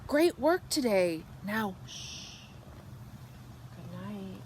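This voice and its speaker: A woman reads a picture book aloud for small children at a very slow, deliberate pace. Her voice sits at an average pitch, with expressive, drawn-out phrasing that softens for the bedtime lines. There is faint background noise in the recording. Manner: slow, animated delivery with drawn-out words and hushed, whispered good nights. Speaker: children's storybook reader.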